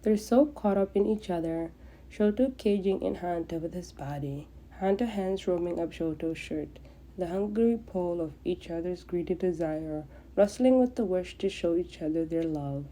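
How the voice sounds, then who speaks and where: Woman reading fanfic out loud, really dark mellow voice, a bit on the low side. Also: moderate pace, rough recording. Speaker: podfic narrator. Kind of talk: fan fiction narration.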